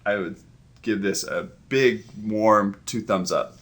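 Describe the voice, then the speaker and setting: A man's voice: fairly low pitch, slow pace, a bit bright. A man co-hosting a family movie review, chatting casually and chuckling as he plays along with jokes. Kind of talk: casual joking chat.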